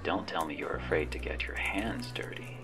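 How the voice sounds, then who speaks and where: Man as a shadowy cyberpunk handler, very low gravelly voice, sly, teasing, coolly amused, menacing, ASMR roleplay. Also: over music. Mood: sardonic, teasing. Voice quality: whispery, gravelly. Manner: slow-burning, sardonic, teasing.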